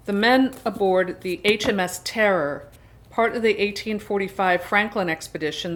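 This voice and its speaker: Female book vlogger, mid-range pitch, chatty, expressive, informal personal delivery. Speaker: book vlog host. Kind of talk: casual personal vlog chat, some reading aloud.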